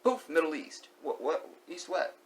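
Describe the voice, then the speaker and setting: A man vlogging an opinionated monologue. He repeats key phrases, asks the viewer rhetorical questions and sometimes sinks to a hushed whisper. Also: a little high, big pitch swings, a little slow.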